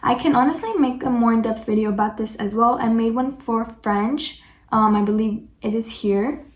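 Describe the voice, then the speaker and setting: A woman's voice: on the high side, moderate pace, reverberant. A woman vlogger answering viewer questions in casual chat, chatty and peppered with "like" and self-corrections.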